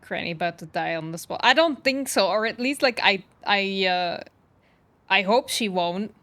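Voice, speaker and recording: A woman's voice: mid-range voice, animated, moderate pace. A woman chatting casually as she streams a game, reacting to it and answering viewers in a chatty way. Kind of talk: casual live stream chat while playing. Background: soft game music.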